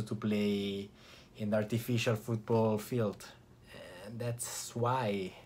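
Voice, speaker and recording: A young man with an Italian accent talks casually to a camera in a small indoor room. His voice sits a little low, and a faint hum of room ambience hangs behind him. He speaks in an easygoing, chatty way, restarting sentences and pausing briefly. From Italy. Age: young adult. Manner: relaxed, chatty, with frequent restarts and short pauses. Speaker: vlogger.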